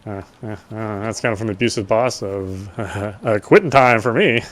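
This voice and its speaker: A man's voice: fairly low pitch, normal speed, fairly bright tone. A man gaming and reading a visual novel's narration aloud, with casual asides. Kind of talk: let's play read-aloud with casual asides.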